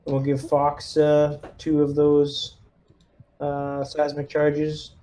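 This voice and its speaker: A man's voice: a little high, completely flat delivery, drawn-out words, mellow. A man talking casually as a player in a tabletop game.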